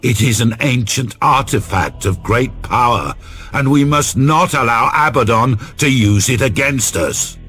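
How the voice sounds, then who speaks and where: An actor playing a solemn Inquisitor of a sci-fi empire, speaking in a formal, measured way for a video game. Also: male voice, medium pitch, lively intonation, sharp tone, breathy edge, faint music behind, exaggerated voice. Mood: grave, urgent. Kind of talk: dramatic video game voice acting.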